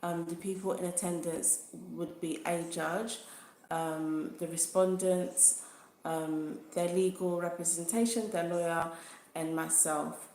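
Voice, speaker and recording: A woman vlogger talking earnestly and thoughtfully about her own experience, in an unhurried way. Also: fairly low pitch, flat delivery, a little mellow, slight background noise. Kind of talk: personal experience vlog. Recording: echoey room.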